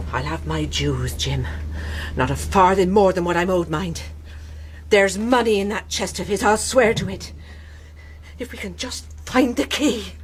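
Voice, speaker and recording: A woman's voice: mid-range voice, natural voice. An actress playing an anxious, honest innkeeper mother, stern and fearful, in a radio drama. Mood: grim, resolute. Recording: noisy radio drama with clicks.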